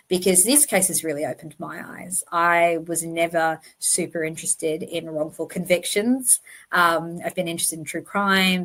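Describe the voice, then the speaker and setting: A woman's voice: sits low, low-quality recording. A woman with a slight lisp hosting a true crime commentary channel in an earnest, informal explanatory monologue.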